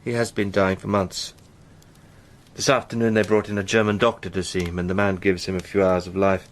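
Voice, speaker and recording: An actor as a fervent British gentleman spy. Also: low-pitched, flat delivery, brisk, lightly acted. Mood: intense, awed. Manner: earnest, impassioned, literary. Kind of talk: radio drama dialogue.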